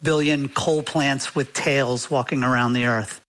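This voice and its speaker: A man's voice: medium pitch, fairly even intonation, measured pace, a bit bright. A man speaking as an interview guest, explaining things in an informal, conversational way peppered with filler phrases. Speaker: guest author.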